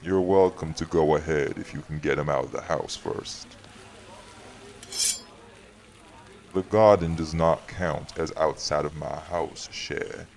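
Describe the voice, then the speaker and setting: An extremely low male voice with plenty of gravel, a man acting a vampire who rules a city. He is measured and wry, weary yet protective, with dry teasing in an audio drama. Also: darkish tone.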